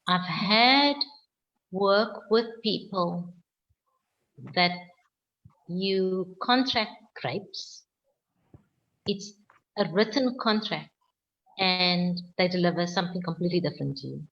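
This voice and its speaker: A South African woman winemaker answering questions on a video call, speaking slowly and with firm emphasis. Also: lowish voice, lo-fi sound. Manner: slow, deliberate, emphatic. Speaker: winemaker guest.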